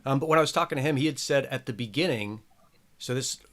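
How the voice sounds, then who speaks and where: A man's voice: mid-range voice, quick pace, fairly bright tone. A man hosting a casual podcast chat, rambling and chatty with plenty of filler words. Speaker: show host.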